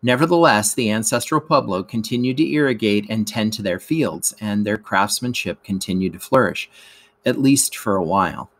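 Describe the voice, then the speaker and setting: A man reads educational narration aloud like a teacher, in measured, careful phrases with emphasis at sentence openings. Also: on the low side, a bit bright. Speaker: teacher reading a lesson aloud.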